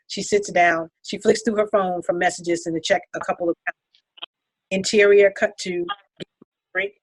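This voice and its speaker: A woman's voice: fairly low pitch, no echo, low-quality recording. A woman reading scripted stage directions as the narrator at a table read.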